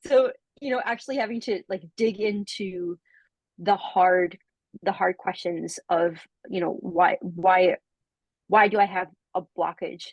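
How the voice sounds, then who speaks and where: A young woman giving a coaching testimonial in casual conversation, halting and full of fillers and restarts, often laughing. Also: on the low side, steady tone, no room sound, muffled recording.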